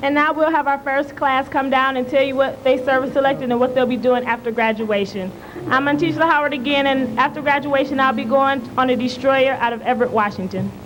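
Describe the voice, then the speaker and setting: A woman's voice: sits high, brisk. A young woman making public announcements as mistress of ceremonies at a live event. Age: young adult. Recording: very noisy live event tape.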